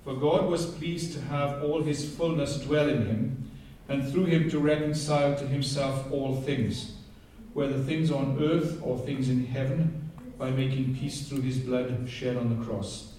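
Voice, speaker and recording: A man's voice: medium pitch, steady tone, unhurried, hardly any pauses, dark tone. A man with a Welsh accent preaching a passionate sermon and reading scripture aloud, often picking up speed as he goes. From Wales. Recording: very echoey hall, heard from a distance.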